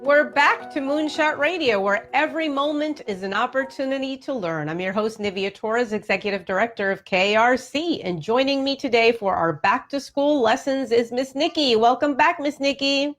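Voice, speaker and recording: A woman's voice: high voice, moderate pace, hardly any pauses, fairly bright tone, soft background music. A woman hosting a radio interview show.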